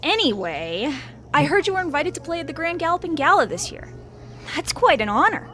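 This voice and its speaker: A woman's voice: high-pitched, big pitch swings, brisk, sharp tone, slight background noise, heavily acted. A woman playing a prim, sardonic young pony mare in a cartoon audio drama, dry and slightly huffy. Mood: wry.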